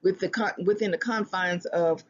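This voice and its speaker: A speaker giving earnest relationship advice in a self-help talk, often restarting phrases and slowing down to stress a point. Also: medium pitch, steady tone, a bit bright, highs cut off. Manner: earnest, repeats and restarts phrases, slows for emphasis.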